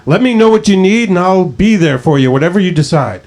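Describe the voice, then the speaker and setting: A man's voice: high voice, expressive, a little fast, darkish tone. An actor in a screenplay table read playing a level-headed, supportive male friend talking on the phone. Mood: concerned.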